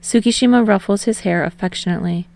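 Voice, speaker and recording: A woman's voice: medium pitch, fast, vocal fry, a little mellow. A woman reading scripted fiction aloud as an audiobook narrator.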